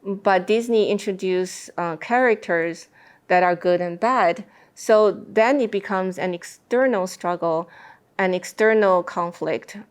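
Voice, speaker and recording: A woman speaking as an interview guest in a thoughtful discussion, explaining her ideas with deliberate phrasing. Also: mid-range voice, clean tone.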